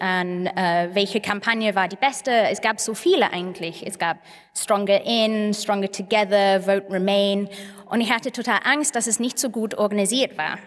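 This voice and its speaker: A woman from England speaking non-native German with an English accent, haltingly searching for words as she gives an anecdotal conference talk. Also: mid-range voice. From England (Manchester). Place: large conference hall on stage, indoors. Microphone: podium microphones. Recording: echoey hall with long reverb.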